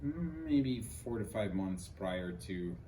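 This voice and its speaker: A man in his forties answering interview questions in a relaxed, candid way with a few hesitations. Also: fairly low pitch, slow. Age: 40s. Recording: noisy, distant mic.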